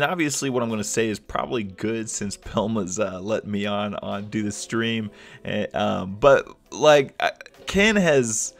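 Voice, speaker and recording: A man with a fairly low voice warms up a livestream in loose, rambling talk, full of ums and self-corrections. He sounds a little stuffed up from a cold. Faint music plays softly behind him. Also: creaky. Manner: rambling, filler-heavy, restarts often. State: slightly congested from a cold. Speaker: livestream host. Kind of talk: casual livestream chat.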